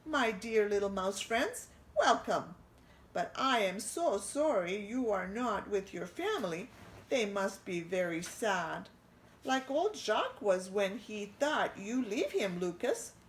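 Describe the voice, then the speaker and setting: A woman's voice: medium pitch, lively intonation, slow pace, a little hiss or hum, low-quality recording. A woman playing a jolly old French chef. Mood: welcoming. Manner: mock French accent, broken English. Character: jolly, warm-hearted older male French chef.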